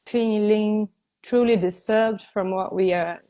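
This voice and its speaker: A woman's voice: mid-range voice, fairly even intonation, warm tone. Earnest woman with a Brazilian accent. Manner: halting, earnest, searching for words. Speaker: host. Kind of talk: live online conversation. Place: home in Spain. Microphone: video call.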